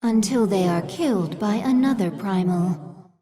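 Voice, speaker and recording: A woman's voice: mid-range voice, animated, a little slow, warm tone, close to an everyday voice. An actress playing a composed, dutiful female alien servant to a queen in a video game, formal and deferential. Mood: measured. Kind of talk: video game creature acting. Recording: music clearly behind the voice.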